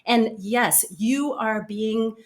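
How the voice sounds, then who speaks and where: American woman, interview guest; earnest, animated, emphatic conversational read. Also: fairly high pitch, very slow. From United States. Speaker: guest, author and speaker.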